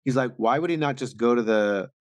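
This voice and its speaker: A man's voice: medium pitch, fairly quick, dry recording. A man co-hosting a podcast, informally explaining and debating a story, with casual swearing. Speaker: podcast co-host. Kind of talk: casual recap and discussion of a story.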